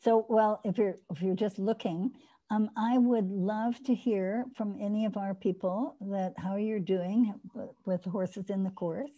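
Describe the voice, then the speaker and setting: A woman's voice: mid-range voice, steady tone, a little slow, mellow, highs cut off. An older woman hosting an online course on a video call, explaining eagerly and restarting her sentences often. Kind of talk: teaching and taking questions on a video call.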